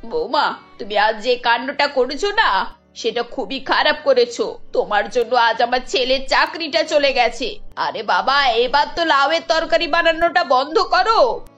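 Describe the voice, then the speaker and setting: A woman's voice: sits high, animated, normal speed, fairly bright tone, soft background music. A woman voicing a scolding, preachy middle-aged mother-in-law in a cartoon, lecturing. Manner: admonishing, lecturing. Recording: dull lo-fi sound.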